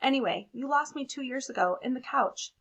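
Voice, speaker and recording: A woman's voice: sits high, fairly quick, a bit bright. A woman voicing a neglected but hopeful maroon crayon in a wry, plaintive storybook delivery. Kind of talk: expressive picture-book read-aloud.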